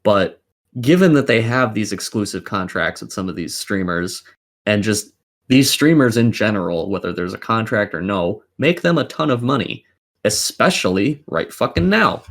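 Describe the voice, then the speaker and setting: A man's voice: fairly low pitch, lively intonation, moderate pace, a bit bright. A man co-hosting a casual podcast, giving opinionated commentary in a blunt, sweary, argumentative way. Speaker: podcast co-host.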